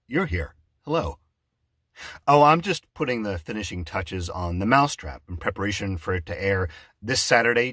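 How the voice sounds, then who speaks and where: A man speaking as a theatre director in a one-sided conversation, explaining his show in a halting, digressive way and often breaking off to answer an unheard listener. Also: fairly low pitch, fairly bright tone, slightly rough, dry recording, clean studio sound. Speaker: theatre director, adapter and announcer. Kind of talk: one-sided mock conversation promoting an audio drama event.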